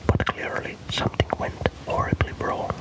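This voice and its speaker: Male ASMR storyteller, fully whispered delivery, soft and breathy, calm deliberate narration. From Finland.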